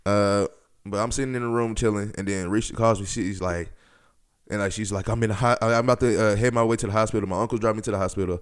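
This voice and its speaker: A man's voice: lowish voice, fast, clean tone. A man in his twenties hosting a podcast, telling stories casually in slangy, profane language and acting out bits of dialogue. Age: 20s. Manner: slangy, profane, animated retelling with quoted dialogue. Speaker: podcast host. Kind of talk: casual storytelling podcast talk.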